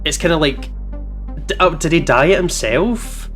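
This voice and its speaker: A man's voice: a little high, expressive, normal speed, a bit bright. A man hosting a playthrough, switching between dramatic first-person narration of a visual novel and casual, reactive banter with some swearing. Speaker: let's play host reading a visual novel aloud. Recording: game music behind voice.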